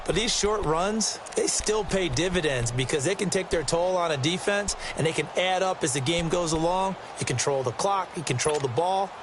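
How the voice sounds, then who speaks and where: An emphatic American football analyst voiced by a man explains the game like a TV color commentator. His voice is somewhat high for a man. Clear noise from a game-stream capture runs behind him. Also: normal speed, few pauses, lightly acted. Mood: emphatic, explaining.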